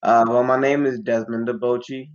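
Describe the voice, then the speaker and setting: A man's voice: mid-range voice, level tone, normal speed, lo-fi sound. A young man from Ghana, a musician guest on a podcast, talking in a laid-back, slangy, rambling way. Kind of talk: casual interview chat.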